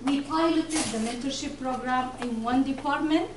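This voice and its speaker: A woman's voice: high voice, normal speed. A woman giving a conference presentation, hesitant and full of fillers, recorded from the back of a large lecture room. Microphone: far camera. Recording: echoey room, recorded from far, audience noise.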